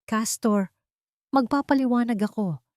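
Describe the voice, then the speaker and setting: A woman's voice: fairly high pitch, unhurried, darkish tone, no echo, clean studio sound, pitched above the performer's own voice, close to an everyday voice. Narrator as a scornful, scheming haughty woman. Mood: panicked. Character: scheming, bitter, haughty woman exposed as a manipulator.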